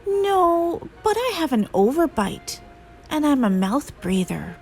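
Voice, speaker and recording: Woman playing male storybook monster, breathy, raised pitch, polite, bookish, earnest, harmless. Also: very animated, slow pace, a little mellow, slight background noise, exaggerated voice.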